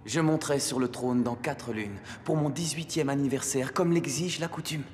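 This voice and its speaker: An actor voicing an earnest, conflicted teenage boy hero in an animated series, intense and insistent. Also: mid-range voice, moderate pace, a little hiss or hum, natural voice. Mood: defiant, conflicted. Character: earnest, conflicted teenage prince searching for father. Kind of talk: dubbed animated series acting. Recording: long reverb, faint music behind.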